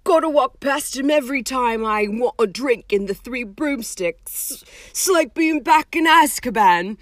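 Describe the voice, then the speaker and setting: A woman voicing a big, rough-spoken, soft-hearted and despairing gamekeeper man in an audiobook, with drawn-out, emotional speech. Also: a little high, animated, dry recording, clean studio sound, pitched above the performer's own voice. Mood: haunted, emotional. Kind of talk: audiobook character voice.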